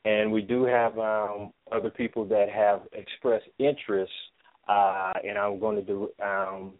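A man answering interview questions as a theater producer, speaking haltingly and often repeating his words. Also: on the low side, flat delivery, dry recording. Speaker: stage play writer and producer. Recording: thin phone-line sound.

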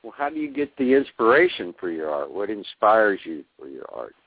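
A man's voice: fairly low pitch, big pitch swings, normal speed, no room sound. A man hosting an interview show, reading a polished intro and asking questions. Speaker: radio show host. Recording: tinny phone-like audio with faint music.

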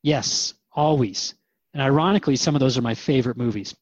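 A man's voice: mid-range voice, fairly quick, no room sound, highs cut off, close to an everyday voice. A man playing a modest, earnest radio interviewer in a scripted radio drama. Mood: thoughtful. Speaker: radio show host and interviewer.

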